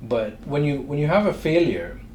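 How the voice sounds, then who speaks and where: A middle-aged man with a Trinidadian accent, a guest speaking in a thoughtful, measured way with frequent pauses. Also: fairly high pitch, animated, darkish tone. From Trinidad and Tobago. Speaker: guest entrepreneur. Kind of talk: interview conversation. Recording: echoey room with faint noise.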